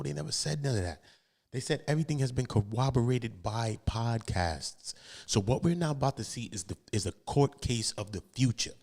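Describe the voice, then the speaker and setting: A man's voice: on the low side, darkish tone. An American man hosting a livestream, giving casual, slangy street commentary on court cases and repeating phrases for emphasis.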